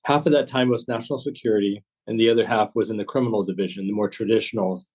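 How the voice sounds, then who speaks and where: A man from Texas, a former agent turned author, answering interview questions in a steady, measured way. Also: lowish voice.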